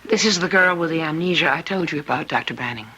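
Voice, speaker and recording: A woman's voice: low voice, expressive, fast, sharp tone, slightly rough, heavily acted. An actress playing a composed woman hospital doctor in a radio drama, with a dry, assured manner. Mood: businesslike. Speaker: actor.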